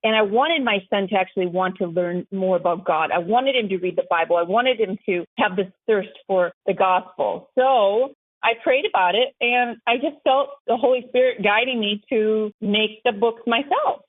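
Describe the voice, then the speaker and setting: A woman's voice: medium pitch, moderate pace, dry recording. A woman interviewed as an author, explaining and telling personal stories conversationally. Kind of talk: conversational storytelling interview answers. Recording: thin phone-like sound.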